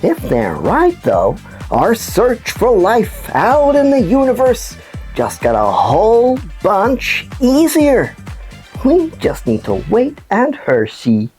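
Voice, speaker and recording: An excitable puppet news host for a kids' show. The delivery swings wildly up and down in pitch, taking its time, theatrical and playful, with music behind. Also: female voice, takes it slowly, full character voice. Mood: upbeat, explanatory. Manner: theatrical, exaggerated, expressive. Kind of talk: children's educational presenting.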